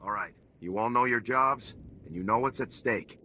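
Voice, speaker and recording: An actor playing a firm, authoritative military squad leader in a video game. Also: male voice, mid-range voice, normal speed, bright, forceful tone, a little hiss or hum, lightly acted. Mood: rallying. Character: commanding male soldier, resolute squad leader.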